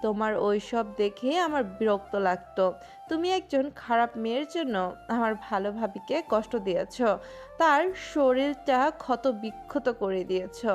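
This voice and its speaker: A woman playing a resentful, outspoken younger sister in a romantic audio story, deliberate and reproachful. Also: fairly high pitch, lively intonation, soft background music. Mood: angry, reproachful.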